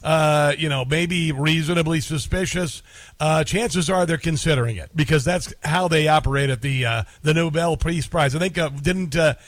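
A man's voice: on the high side, moderate pace, no echo. A man hosting a talk radio show, rambling and sarcastic with lots of fillers. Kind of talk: casual talk radio monologue.

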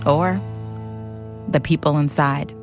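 A woman narrating a radio drama in a solemn, measured way, with dramatic pauses. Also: sits low, steady tone, music in the background. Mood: solemn, urgent. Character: grave female documentary-style narrator.

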